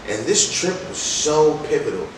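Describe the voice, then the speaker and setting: A man's voice: a little high, big pitch swings, normal speed. A man being interviewed as a music artist, telling his story in a casual, slangy, reflective way and repeating phrases for emphasis. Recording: very echoey, distant, clearly noisy room.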